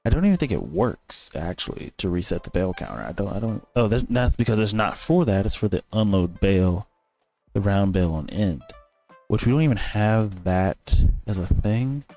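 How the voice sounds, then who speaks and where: Rambling low-voiced man gaming. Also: animated, normal speed, dark tone, faint music behind. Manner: rambling, thinking aloud, self-correcting. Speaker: gaming streamer. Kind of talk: casual gameplay commentary.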